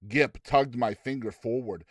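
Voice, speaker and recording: A man narrating a story in an expressive, dramatized reading style. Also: medium pitch, a little slow, dry recording, studio quality.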